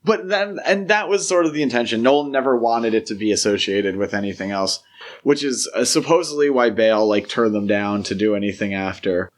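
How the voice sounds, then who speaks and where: A man's voice: on the low side, fairly quick. Casual man chatting as podcast co-host. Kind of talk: casual film discussion.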